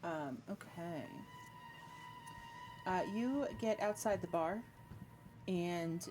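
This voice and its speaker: A woman's voice: fairly low pitch, extremely slow, noisy background. A woman working as game master, narrating scenes and putting questions to players in an informal way. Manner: informal, addresses players as "you guys". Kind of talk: narrating and refereeing a tabletop roleplaying game.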